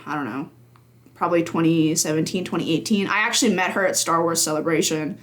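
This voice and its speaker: A woman's voice: lowish voice, fast talker. A woman in her twenties, a games journalist, talking casually on a podcast with lots of "like" fillers and laughter. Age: 20s. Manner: chatty, frequent "like" fillers, laughs often.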